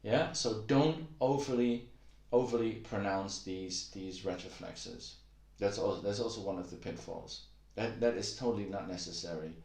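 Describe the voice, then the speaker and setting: Middle-aged male language tutor, low-pitched, patient, filler-heavy; roomy echo. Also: normal speed, vocal fry, darkish tone. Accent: Taiwanese-accented Mandarin. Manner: patient, repeats himself, many fillers. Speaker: Chinese language teacher. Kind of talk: casual video lesson. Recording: echoey room.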